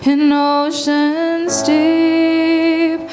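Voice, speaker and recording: A young woman sings high and very slowly into a handheld mic. Her phrases are soft and drawn out, and loud backing music plays under her voice in the church. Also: level tone. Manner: slow, soft, sustained phrasing. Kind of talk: worship singing. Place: church sanctuary, indoors. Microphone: handheld microphone.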